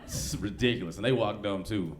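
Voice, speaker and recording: Laid-back, low-voiced American man doing stand-up. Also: fairly quick. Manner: laid-back, slangy, profane delivery. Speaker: stand-up comedian. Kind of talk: live stand-up comedy, conversational. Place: comedy club stage. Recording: live club recording with background noise.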